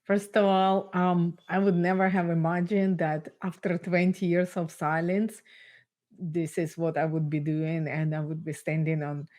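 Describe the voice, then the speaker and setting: A woman from Ukraine with a Russian accent, whose first language is Russian, giving personal testimony as an interview guest in an earnest, measured way with pauses. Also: fairly low pitch, little pitch movement, darkish tone. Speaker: guest speaker.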